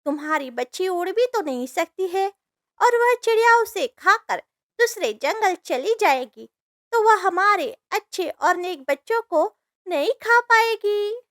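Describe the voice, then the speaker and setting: A woman acts out a fretful, scheming cartoon bird. The voice is extremely high, sharp and bright, urging and exclaiming, with a very dry sound. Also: animated, measured pace, dry recording, heavily acted. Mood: persuasive. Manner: urgent, exclamatory, persuasive. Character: squeaky, alarmist forest birds, scheming and pleading. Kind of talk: Hindi cartoon story voice acting.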